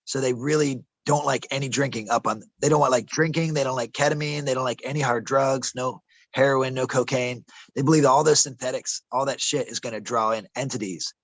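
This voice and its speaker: A man's voice: medium pitch, fairly even intonation, fairly quick, vocal fry, no room sound. A man telling rambling, conspiratorial stories in a casual interview, calling the listener bro and man and stumbling over some words. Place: outdoors in a desert camp.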